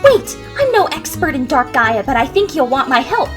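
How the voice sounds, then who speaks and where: A woman's voice: high voice, sing-song, normal speed, soft background music. A woman voicing a spirited, scolding young female hedgehog heroine with an earnest, girlish manner in a fan audio drama. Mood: insistent.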